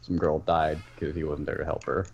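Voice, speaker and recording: Deep-voiced man on a dull, noisy mic. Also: level tone, fairly quick, creaky. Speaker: podcast guest. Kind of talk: casual fan discussion. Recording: dull lo-fi mic with background noise.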